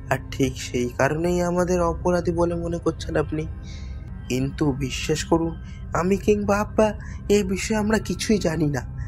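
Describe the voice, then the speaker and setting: A man's voice: high-pitched, darkish tone, lightly acted. Man acting an earnest, polite young son. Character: earnest young man defending himself and his father. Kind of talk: audio drama acting.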